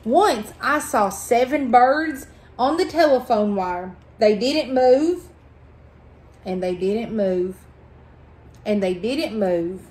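A middle-aged woman reading a picture book aloud to children, phrasing expressively and deliberately. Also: a little high, a little mellow. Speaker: library storytime reader. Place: indoors at a table in a small room.

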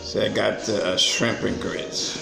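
A man's voice: fairly low pitch, steady tone, a little slow, vocal fry. An older man, chatting casually and upbeat into his phone while filming a vlog in a small restaurant. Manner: chatty, upbeat, plain-spoken. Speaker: vlogger. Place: small restaurant indoors, then street. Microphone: phone camera held at arm's length. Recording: roomy restaurant with music behind.

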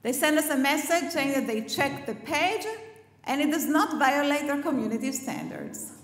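A middle-aged woman gives a prepared conference speech on stage, speaking in a measured and emphatic way on a headset mic. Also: sits high, sing-song. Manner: measured, emphatic, list-like delivery. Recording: echoey hall.

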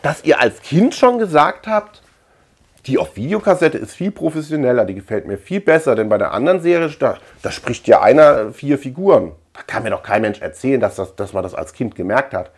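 A man's voice: medium pitch, expressive, a little fast, slightly rough. A man chatting casually as a video host, rambling colloquially and repeating words for emphasis. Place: small room at home lined with shelves.

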